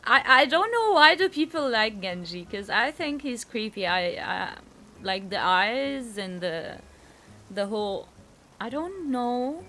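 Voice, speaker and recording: Chatty Egyptian woman gaming, slow-paced. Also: on the high side, big pitch swings, sharp tone, a little hiss or hum. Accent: Egyptian Arabic. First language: Arabic. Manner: chatty, spontaneous, giggly. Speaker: gaming YouTuber. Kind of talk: casual gameplay commentary. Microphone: headset.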